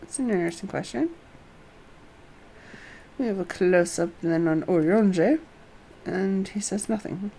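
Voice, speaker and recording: A woman streaming a game, reading its story aloud deliberately and muttering asides as she goes. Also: mid-range voice, dark tone, low-quality recording.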